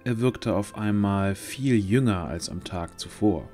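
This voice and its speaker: A man's voice: on the low side, measured pace, a little mellow, faint music behind. A man narrating a scripted fantasy audio drama as storyteller.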